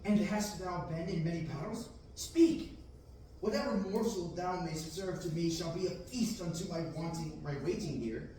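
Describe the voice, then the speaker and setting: A man's voice: high voice, normal speed, mellow, slight background noise, close to an everyday voice. Yearning young farm boy, read by a man; declamatory verse, distant mic in a roomy hall. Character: restless young farm boy dreaming of adventure. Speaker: table-read actor. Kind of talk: amateur Shakespearean table reading. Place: large classroom, read from a table. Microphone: far room camera. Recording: echoey room, distant mic.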